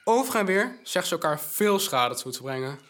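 Man acting a keen, polite orphan boy, higher voice. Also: sing-song, normal speed, fairly bright tone. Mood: earnest. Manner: polite, informative, recites news in detail. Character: bright, eager, polite young orphan messenger boy. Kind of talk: audio drama acting.

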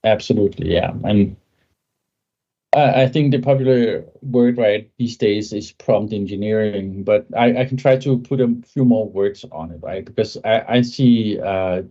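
A man's voice: fairly low pitch, normal speed, dark tone, breathy edge, highs cut off. A man, a podcast guest speaking thoughtfully and often ending points with a tag question. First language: Danish. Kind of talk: conversational tech interview.

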